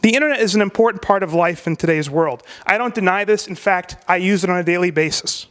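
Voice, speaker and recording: A man delivering a prepared debate speech, emphatic and rhetorical, with deliberate pauses. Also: sits high, fairly bright tone, smooth.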